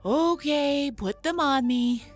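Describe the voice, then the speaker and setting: A woman's voice: sits high, slow, faint music behind. A woman playing an irritable, proud robot girl engineer, snippy and sarcastic, in a sci-fi audio drama. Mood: grumpy.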